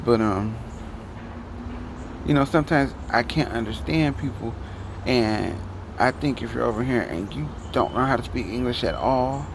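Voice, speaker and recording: A man's voice: mid-range voice, moderate pace, gravelly, background noise. A man from Detroit with a Detroit accent, ranting his opinions in a rambling vlog. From Detroit, Michigan. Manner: heated, rambling, talks to the camera. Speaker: vlogger.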